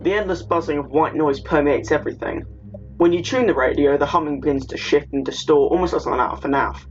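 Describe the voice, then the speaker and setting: A man narrates a video essay in a somewhat high voice. His delivery moves between written narration and casual explanation. The recording is dull and lo-fi, with faint noise underneath. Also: fast talker.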